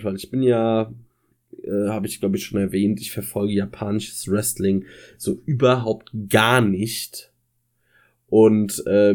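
Langsam sprechender Mann, zögerlich. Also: male voice, fairly low pitch, a little mellow. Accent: German. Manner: hesitant, self-correcting, stumbles over names. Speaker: podcast co-host. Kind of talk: casual wrestling podcast chat.

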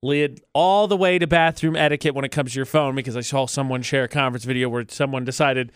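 A man's voice: medium pitch, fairly even intonation, quick pace, clear, resonant tone, no echo, clean studio sound. A man hosting a radio show with casual, chatty debate banter.

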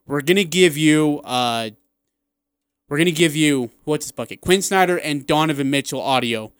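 A man's voice: a little high, moderate pace, smooth, no room sound, studio quality. A man hosting a sports radio show, casually running through schedules and what is coming up next.

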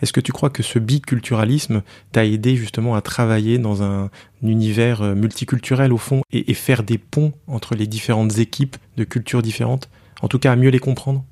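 Slightly higher-voiced male podcast host. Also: fairly even intonation, normal speed, a little mellow. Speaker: podcast host, professional coach. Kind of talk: scripted introduction and interview questions.

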